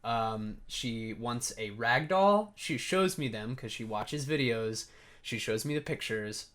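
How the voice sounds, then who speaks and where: A man's voice: mid-range voice, lively intonation, slow pace, a bit bright. A young man with a slightly scratchy voice, vlogging in a casual, storytelling way as he answers viewer questions. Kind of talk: casual chat to camera with storytelling.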